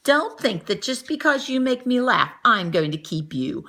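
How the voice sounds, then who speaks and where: A woman reading the lines of an exasperated but soft-hearted young child in a picture book, with emphatic, dramatic delivery. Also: on the high side, very animated, normal speed, exaggerated voice. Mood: firm, exasperated.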